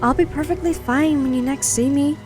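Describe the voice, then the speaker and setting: An actress playing a young, easygoing college student in an audio drama, with a conversational manner. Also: female voice, sits high, moderate pace, darkish tone, faint music behind, lightly acted. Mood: casual, reassuring. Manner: casual, conversational.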